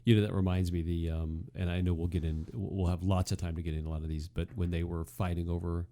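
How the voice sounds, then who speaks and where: A man with a deep voice and a soft, relaxed tone hosts a casual discussion. He rambles along with enthusiasm, like a fan chatting about old television. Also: quick pace. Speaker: podcast host. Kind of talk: casual chat, commentary on classic TV comedy.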